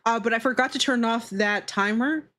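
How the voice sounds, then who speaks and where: A woman with a fairly high voice streams casually, talking straight to her viewers. She is playful and teasing in how she speaks, with a giggly edge and plenty of swearing. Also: moderate pace, a bit bright. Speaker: streamer, VTuber. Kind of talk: casual livestream chat with viewers while drawing.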